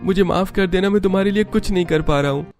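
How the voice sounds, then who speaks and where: A man's voice: high-pitched, fast, a little mellow, soft background music. A man voicing a caring, apologetic young Indian husband in a Hindi cartoon story. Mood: regretful.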